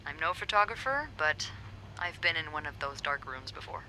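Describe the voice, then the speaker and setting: Savvy female receptionist, wry co-conspirator in a game drama; very bright, forward voice, slightly low. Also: big pitch swings, moderate pace, a little hiss or hum, exaggerated voice, poor audio. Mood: conspiratorial. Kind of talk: narrative mystery game acting, natural dialogue.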